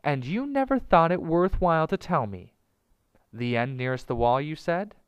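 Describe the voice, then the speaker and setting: A man voicing an impatient male mongoose hero in a storybook, brisk and commanding. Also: a little high, animated, clean tone, no room sound, muffled recording, pitched above the performer's own voice, lightly acted. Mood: urgent scheming. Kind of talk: storybook character voice.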